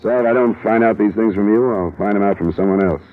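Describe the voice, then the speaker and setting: Deep-voiced hard-boiled radio detective played by an actor. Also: male voice, fast talker, close to an everyday voice. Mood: insistent. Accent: American. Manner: terse, probing, hard-boiled. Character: dogged, calm male private investigator, adult. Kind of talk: 1950s radio detective drama acting, first-person narration and dialogue. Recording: muffled, lo-fi old radio recording.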